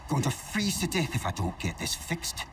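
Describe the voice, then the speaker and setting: An actor playing an earnest young assassin in a video game, solemn, formal and blunt. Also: male voice, mid-range voice, huge pitch swings, normal speed, far from the mic, noisy background. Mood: stern. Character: earnest young male assassin, stern and defiant. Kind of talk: video game cutscene acting.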